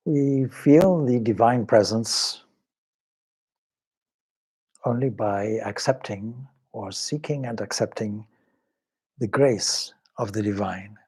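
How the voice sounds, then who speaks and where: A man leading a guided meditation as a swami, speaking in a measured, solemn, devotional way with pauses between short phrases. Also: medium pitch, darkish tone, husky.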